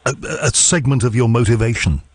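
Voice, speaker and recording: A man's voice: mid-range voice, a little fast, no room sound. A man hosting a radio interview, asking questions in a conversational, encouraging way. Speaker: interview host.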